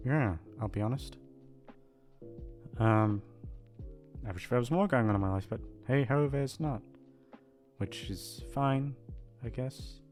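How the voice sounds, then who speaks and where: A man with a mid-pitched voice rambles casually to his audience over music. He is hesitant and self-deprecating, drifting along without much direction. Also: darkish tone. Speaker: voice actor signing off to listeners. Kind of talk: casual rambling outro chat.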